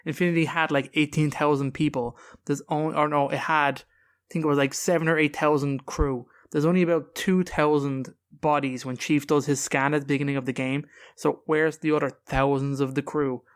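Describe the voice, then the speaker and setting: A man's voice: fairly high pitch, flat delivery, normal speed, clear, resonant tone. A man hosting a podcast, talking casually and informally with emphatic opinions. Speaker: podcast host.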